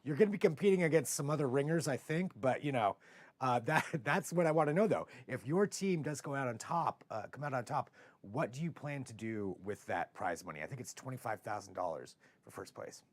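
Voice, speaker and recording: An American man with a rough, hoarse voice hosting a casual live interview, talking in halting, rambling phrases. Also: mid-range voice, expressive, dry recording. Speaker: TV show host.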